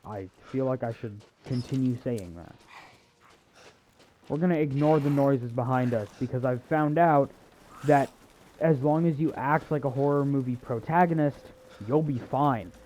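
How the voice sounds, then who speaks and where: A man's voice: mid-range voice, a little slow, dark tone, slight background noise, poor audio. A man giving casual video game walkthrough commentary, with hesitant, wry, self-deprecating asides.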